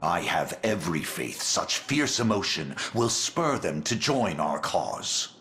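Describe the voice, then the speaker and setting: Deep-voiced, solemn royal counselor. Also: male voice, big pitch swings, measured pace, a bit bright, slight background noise, full character voice. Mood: solemn, rousing. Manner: formal, solemn oratory. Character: grave, loyal male elder counselor to a prince. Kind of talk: formal fantasy video game acting.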